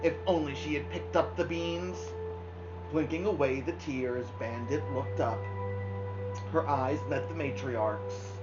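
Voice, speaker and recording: A woman reading a children's novel aloud expressively, with dramatic pauses. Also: low-pitched, steady tone. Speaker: storybook reader. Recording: dull lo-fi sound, music behind. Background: music.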